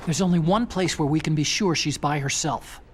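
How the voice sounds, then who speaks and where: An actor with an American accent playing an earnest, nerdy young scientist in a video game, anxious, idealistic and plaintive. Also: male voice, on the high side, brisk, slight background noise, close to an everyday voice. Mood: matter-of-fact. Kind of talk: dramatic video game voice acting.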